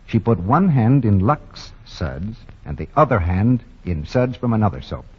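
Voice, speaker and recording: A man's voice: on the low side, husky, dull audio. A male announcer reading a radio commercial with emphatic salesman delivery, on an old 1940 radio broadcast.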